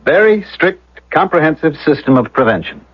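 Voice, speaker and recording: Husky, emphatic fire chief. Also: male voice, on the high side, sing-song, a little fast, no echo. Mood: firm, earnest. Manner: formal, emphatic, didactic. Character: earnest, opinionated fire chief being interviewed. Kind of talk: 1970s radio drama acting. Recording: dull old radio broadcast recording.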